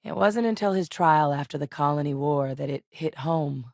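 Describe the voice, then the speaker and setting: An actress voicing a guarded, resolute military woman in a video game, measured and matter-of-fact, with dry determination. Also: deep voice, darkish tone, no room sound. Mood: somber, reflective. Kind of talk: naturalistic video game dialogue.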